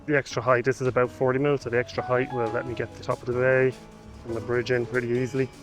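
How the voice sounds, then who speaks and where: An Irish man talks through his travel diary in a relaxed, dry tone, poking fun at himself and letting the odd curse slip. His voice sits at an ordinary middle pitch. Music plays clearly underneath him. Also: quick pace. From Ireland. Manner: wry and self-deprecating, with the odd swear. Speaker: vlogger and film photographer. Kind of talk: casual travel vlog narration.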